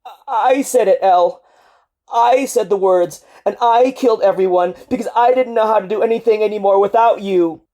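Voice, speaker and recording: A woman's voice: medium pitch, normal speed, dark tone, voice pushed up, natural voice. A woman voicing a grief-stricken young male marine who is crying, his words strained and tumbling out. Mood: despairing.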